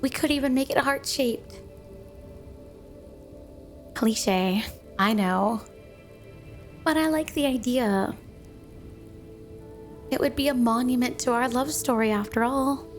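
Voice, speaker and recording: Woman acting a high-voiced, clingy snow-woman girlfriend. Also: faint music behind. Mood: sweet, doting. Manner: sugary, coy, affectionate, unhurried. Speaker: ASMR roleplay performer. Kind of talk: ASMR romantic roleplay, addressed to the listener.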